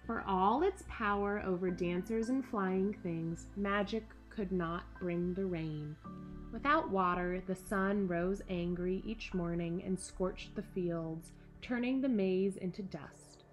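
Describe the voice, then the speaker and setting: An American woman in her thirties hosting a children's storytime with animated enthusiasm, presenting and reading aloud in a room at home. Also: medium pitch, takes it slowly, faint music behind. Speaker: camp director hosting a children's story corner. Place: small furnished room at home, indoors.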